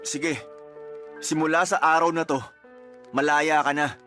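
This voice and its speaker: A man's voice: on the high side, level tone, a little slow, pushed and bright, soft background music. A young man acting a resentful boyfriend in a radio drama, complaining and accusing. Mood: cold, final.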